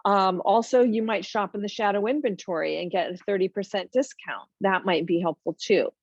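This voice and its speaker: A woman's voice: mid-range voice, fairly even intonation, normal speed, no echo, lo-fi sound. A woman hosting a finance webinar, talking informally and emphatically through numbered points with frequent ums and asides.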